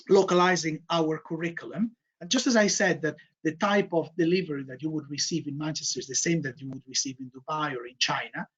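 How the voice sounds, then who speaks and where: Spanish-accented male programme director, husky tone, filler-heavy, emphatic repeats, dull call audio. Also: fairly high pitch. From Spain. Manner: frequent fillers and repeated words, emphatic triple repetitions. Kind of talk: online information session presentation.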